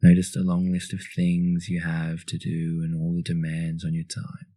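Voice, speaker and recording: A man leading a guided meditation in a calm, soothing, instructional manner. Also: low voice, normal speed, no echo.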